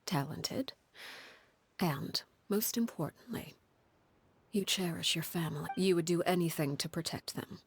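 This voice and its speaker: An actress playing a composed, commanding woman leader in a video game, speaking formally with a soft, breathy undertone, stern yet caring. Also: lowish voice, no room sound, exaggerated voice. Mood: calm, earnest. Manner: measured, formal, authoritative. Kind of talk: scripted video game voice acting.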